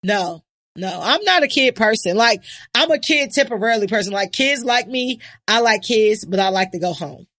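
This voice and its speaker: A young woman hosting a podcast, chatting casually in an animated, laughing, profane way. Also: mid-range voice, normal speed. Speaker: podcast host and producer. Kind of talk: casual chat.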